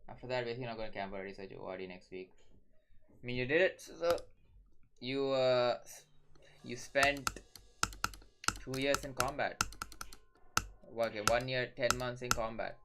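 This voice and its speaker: Young man, offhand profane streamer chatter, keyboard typing and mouse clicks clearly audible. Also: mid-range voice, moderate pace, long pauses, noisy background. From Singapore. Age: young adult. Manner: offhand, profane, mutters to himself between chat replies. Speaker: game streamer. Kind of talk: casual live-stream chatter while gaming.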